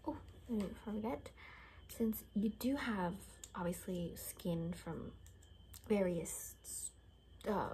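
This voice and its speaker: A young woman in a whispered ASMR roleplay, rambling casually in a soft, breathy, chatty way. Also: medium pitch, expressive, takes it slowly, slight background noise, poor audio. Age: young adult. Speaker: ASMR creator playing an attendant. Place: small bedroom indoors.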